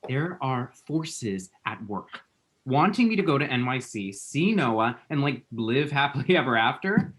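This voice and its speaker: A man's voice: medium pitch, very animated, measured pace, fairly bright tone. Guy acting dramatic lovesick millennial dude, quippy rambler, voice all over the place, rom-com table read. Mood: giddy, dramatic. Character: dramatic, lovestruck, scrolling-obsessed twenty-seven-year-old man.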